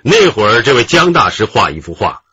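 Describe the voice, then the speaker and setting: An actor playing a genial, modest middle-aged detective chief who reminisces in a chatty, deliberate way in a radio drama. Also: male voice, on the low side, sing-song, sharp tone, breathy edge, no echo, exaggerated voice. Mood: animated recounting. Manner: chatty, deliberate, with drawn-out interjections. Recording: muffled, dull radio drama recording.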